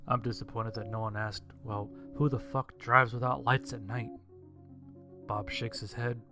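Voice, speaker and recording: A man's voice: mid-range voice, steady tone, measured pace. A man reading a scripted story as its narrator. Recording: music behind the voice. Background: music.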